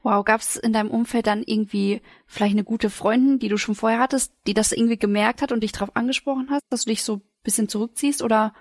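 Quick-talking woman host, fairly high voice. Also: fairly even intonation, no echo, studio quality. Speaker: interview host. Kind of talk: casual conversational interviewing.

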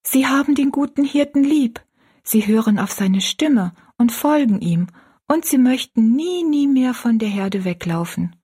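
A woman voicing an earnest, pious young girl in a storybook, gently and politely explaining things. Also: a little high, expressive, measured pace, husky, voice pushed up. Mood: earnest, warm.

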